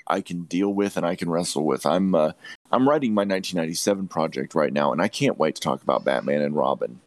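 A man with a very deep voice in a dry, close-sounding recording. He talks as an opinionated podcast host, making firm, emphatic declarations in casual discussion. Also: no room sound. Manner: emphatic, declarative, repeats phrases for weight.

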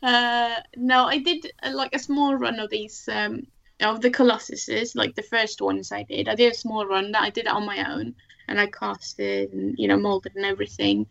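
A woman's voice: medium pitch, flat delivery, normal speed, gravelly, fairly bright tone, highs cut off. A woman whose first language is Swedish, chatting casually as a guest and laughing often. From Sweden. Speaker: guest artist. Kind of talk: casual chat.

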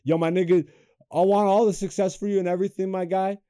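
A young man hosting a comedy podcast in a studio, chatting loosely with lots of swearing, swinging between loud hype and low mumbles. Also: little pitch movement, quick pace, clean tone, no echo. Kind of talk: casual podcast chat.

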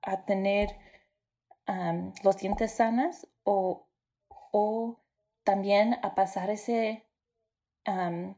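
A woman guest who teaches dental hygiene, answering in a halting way with many pauses. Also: medium pitch, fairly even intonation, dark tone. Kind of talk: interview answers.

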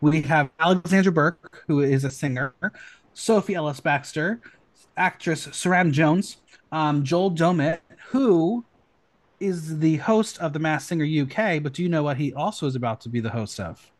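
A man hosting a casual podcast, chatty and excitable, rattling off news with lots of ums. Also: fairly high pitch, normal speed. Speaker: podcast host.

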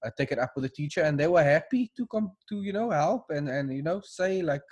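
A young man, co-hosting a casual podcast, reading demands aloud and chatting in slangy, animated bursts with frequent restarts. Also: on the high side, brisk, slightly rough. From South Africa. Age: young adult. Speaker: podcast co-host.

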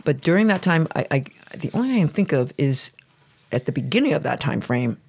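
A middle-aged woman whose first language is Chinese, thinking aloud in a casual conversation with halting restarts. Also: low voice, sing-song, gravelly, darkish tone, breathy edge. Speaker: client receiving a reading.

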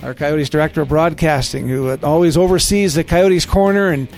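A man's voice: a little high, steady tone, a little fast, a little mellow, soft background music. A man hosting a casual podcast, talking in an affable, complimentary way. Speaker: guest host, radio play-by-play announcer.

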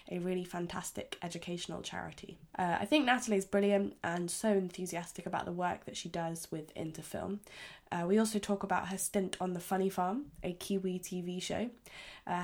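A woman's voice: lowish voice, a little mellow. A woman hosting a podcast. She opens the show and interviews her guest in a friendly, conversational way. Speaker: podcast host.

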